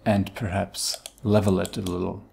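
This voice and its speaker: A man narrating a step-by-step software tutorial in a dry, matter-of-fact way. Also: deep voice, little pitch movement, normal speed, gravelly, a little hiss or hum.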